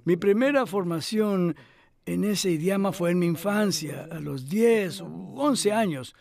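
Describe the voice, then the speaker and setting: A man's voice: high voice, measured pace, vocal fry, a little mellow. A male actor dubbing a cultured older scholar who speaks reflectively and hesitantly in a conversational interview. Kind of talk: voice-over dub of a conversational interview.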